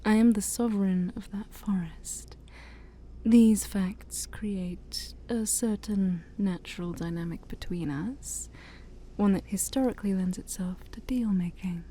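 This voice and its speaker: A woman playing a shy, scheming demon princess of a dark forest in an ASMR roleplay, soft, breathy and mostly whispered, with coaxing, formal speech and playful asides. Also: mid-range voice, measured pace, a little hiss or hum, close to an everyday voice. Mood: seductive, grand. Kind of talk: ASMR roleplay addressed to the listener.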